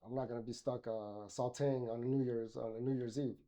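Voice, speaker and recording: A man's voice: medium pitch, fairly even intonation, brisk, dark tone. A middle-aged man from Miami, a podcast guest, talking casually with many fillers and restarts. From Miami, Florida. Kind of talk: casual interview conversation.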